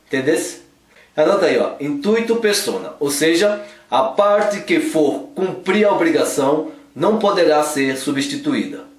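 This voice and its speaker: A man gives a law lesson and keeps cracking jokes at a sidekick. His voice sits a little high. It sounds roomy and a bit distant, as if he stands back from the mic. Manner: playful, teasing an unseen sidekick. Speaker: law teacher. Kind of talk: joking casual law lesson.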